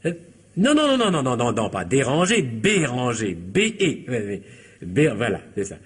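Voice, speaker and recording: A nervous, scheming husband in a comic radio mystery. His husky, mid-pitched voice swings widely. He is flustered, stammering and piling up repetitions as he argues his case. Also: huge pitch swings, unhurried, heavily acted. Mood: falsely polite, flustered. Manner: flustered, stammering, repeats himself. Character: nervous, scheming husband and son who botched killing his wife. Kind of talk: French comic radio mystery play acting.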